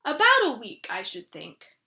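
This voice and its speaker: A woman voicing a kind, fond young boy in a storybook reading, speaking softly and slowly in a lower voice. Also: huge pitch swings, vocal fry, heavily acted. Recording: roomy echo.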